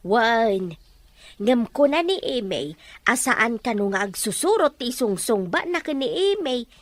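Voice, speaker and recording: A woman playing an inquisitive, expressive character in an audio drama, speaking with an Ilocano accent and a questioning manner. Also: a little high, takes it slowly, dry recording. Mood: doubtful, gossipy. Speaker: drama performer.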